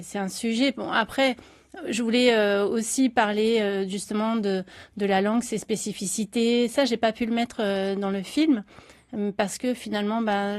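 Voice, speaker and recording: A woman's voice: medium pitch, a little mellow, no room sound. Hesitant woman, dry close sound. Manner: hesitant, many fillers and restarts. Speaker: journalist and documentary director, guest. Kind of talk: morning radio interview, unscripted.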